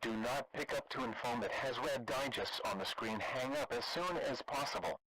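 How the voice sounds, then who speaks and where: A man's voice: medium pitch, normal speed, dry recording, rough recording. A man as stern emergency alert announcer. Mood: stern, official. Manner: flat, official, terse. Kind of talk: scripted emergency broadcast announcement.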